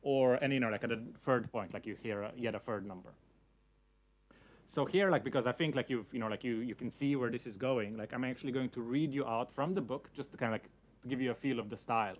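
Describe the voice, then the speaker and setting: A young man whose first language is Slovenian talks to a room of listeners at an easy, conversational pace. He circles around his points and leans on filler words. His voice sits at a middle pitch for a man. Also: fairly even intonation, a little fast. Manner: hedging, many fillers like "you know, like". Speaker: speaker giving a talk. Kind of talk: casual conference talk.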